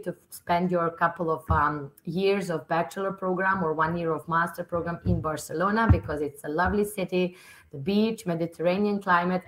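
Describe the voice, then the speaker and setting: A woman's voice: lowish voice, a bit bright, rough recording. A woman in her thirties from Serbia, a university recruitment manager giving a webinar presentation on a video call, friendly, with many fillers and restarts. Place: office room indoors.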